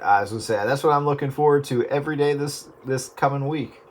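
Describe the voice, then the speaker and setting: A man's voice: medium pitch, fast talker, very noisy. A man in casual radio chat, explaining things in a laid-back, meandering way. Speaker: radio round-up participant.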